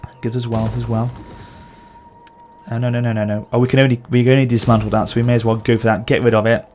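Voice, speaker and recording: A man's voice: medium pitch, level tone, quick pace, a little mellow, a little hiss or hum. Man with a sore throat, muttering along. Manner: thinks aloud, self-correcting, muttering to himself. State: sore throat with occasional coughing. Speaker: gameplay channel host. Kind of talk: casual strategy-game commentary.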